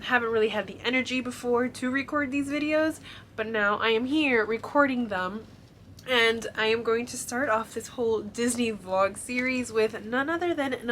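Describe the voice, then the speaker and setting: Young woman, stuffy congested voice, chatty haul talk, bright tone, faint room noise. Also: on the high side. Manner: chatty, lots of ums and likes. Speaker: vlogger showing a shopping haul. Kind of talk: casual chat to camera.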